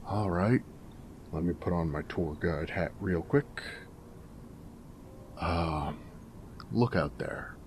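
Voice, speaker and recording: A man playing a snarky but friendly ghoul companion in an audio drama, with a gravelly voice and a wry, joking drawl. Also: low voice, slow pace, long pauses, darkish tone, slight background noise. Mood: dry, sardonic. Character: snarky, friendly male ghoul wasteland companion, weathered and irradiated. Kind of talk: character roleplay audio drama.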